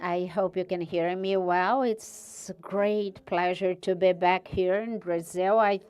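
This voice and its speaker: A woman's voice: lowish voice, steady tone, takes it slowly, darkish tone, clear, resonant tone. A woman from Portugal with a European Portuguese accent, giving a conference presentation as a university researcher and choosing her words carefully. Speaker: guest speaker, university researcher.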